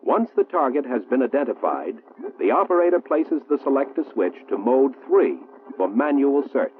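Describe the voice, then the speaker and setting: An American man reading a 1960s military training film narration in a formal, measured, precisely enunciated manner. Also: mid-range voice, big pitch swings, a little hiss or hum. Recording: muffled, roomy 1960s film soundtrack, phone-like.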